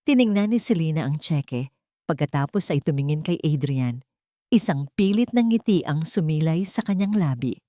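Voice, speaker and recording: Low, mellow woman narrating a drama. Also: expressive, normal speed, no echo, studio quality. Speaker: story narrator. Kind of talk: scripted dramatic narration of a Tagalog love drama.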